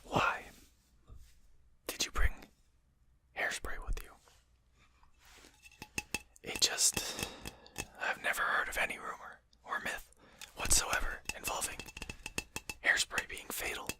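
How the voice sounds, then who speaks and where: A performer voicing a courteous but condescending vampire in a whispered ASMR roleplay, speaking formally and with measured care. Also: close to an everyday voice. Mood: bemused, admonishing. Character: courteous, condescending vampire manor lord, amused and civil.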